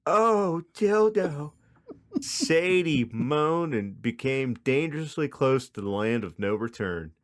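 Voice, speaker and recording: A man co-hosting a comedy podcast, reading and chatting in a halting, crude, jokey way. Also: mid-range voice, expressive. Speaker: podcast co-host. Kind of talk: comedic story reading and casual chat.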